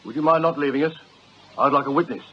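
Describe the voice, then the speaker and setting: A man's voice: a little high, quick pace, natural voice. An actor playing an upright, indignant man in a radio drama, earnest, clipped and formal. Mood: firm. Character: upright, indignant male job-seeker, stiff and formal. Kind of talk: British radio drama acting. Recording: muffled radio drama recording, faint hiss.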